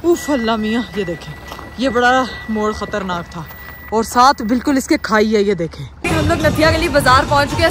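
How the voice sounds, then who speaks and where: A young woman narrating a casual travel vlog, talking straight to viewers in a playful, chatty way while filming on a handheld phone outdoors. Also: high voice. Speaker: vlogger. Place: outdoors at a cold hill resort and bazaar.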